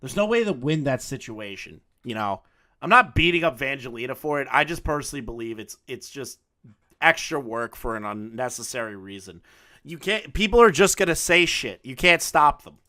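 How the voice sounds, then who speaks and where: A man hosting a reaction commentary, blunt and profane in how he mocks what he watches. His voice has a bright, sharp edge. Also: medium pitch, big pitch swings, normal speed. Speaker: commentary channel host.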